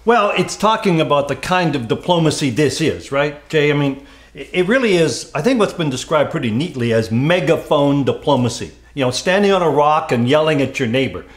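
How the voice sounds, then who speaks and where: Lively man in a roomy-sounding space. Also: a little high, brisk. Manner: informal, rhetorical questions. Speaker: talk show co-host. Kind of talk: opinionated conversational political commentary.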